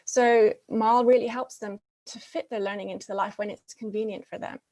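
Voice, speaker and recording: A woman presenting a talk at a teachers' conference, explaining her points with many pauses and restarts. Her first language is English. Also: fairly high pitch, fairly even intonation, a little mellow, poor audio. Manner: frequent fillers and restarts, explanatory.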